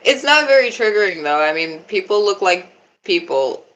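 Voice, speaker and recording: A young woman chatting on a live stream, laid-back and giggly, with a deeper voice who swears freely. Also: animated, moderate pace, a bit bright, low-quality recording. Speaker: livestreamer. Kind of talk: casual live-stream chat.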